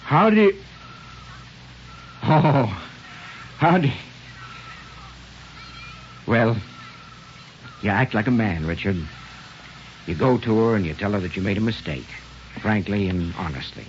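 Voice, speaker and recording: A male actor playing an awkward, tender middle-aged American father in a halting 1940s radio drama style. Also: lowish voice, big pitch swings, slight background noise. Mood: earnest advice. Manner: halting, hemming and throat-clearing. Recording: muffled vintage radio with music behind.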